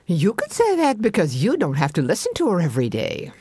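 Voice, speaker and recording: A woman's voice: fairly low pitch, huge pitch swings, brisk, warm tone, slightly rough, dry recording, full character voice. An actress playing an exasperated, homely older woman who complains and exclaims in an audio comedy. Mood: grumbling. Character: exasperated, plump, silver-haired homely older sister. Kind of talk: scripted audio comedy acting.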